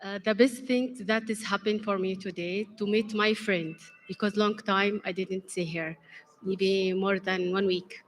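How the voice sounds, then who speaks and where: A woman in the audience speaking in simple, halting sentences from some distance. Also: mid-range voice, fairly even intonation, slight background noise. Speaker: audience member.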